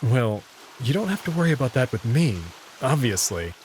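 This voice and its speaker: A man's voice: medium pitch, sing-song, moderate pace, a little mellow, a little hiss or hum, close to an everyday voice, low-quality recording. A man voicing a wary but considerate human hero in a visual novel, in a guarded conversational way. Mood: reassuring. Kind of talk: visual novel character reading.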